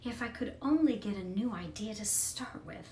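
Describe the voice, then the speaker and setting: A woman narrator voicing an indulgent, thoughtful mother with a gentle, patient manner in a storybook. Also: medium pitch, expressive, normal speed, lightly acted. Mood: fond, thoughtful. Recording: echoey room, distant mic, faint noise.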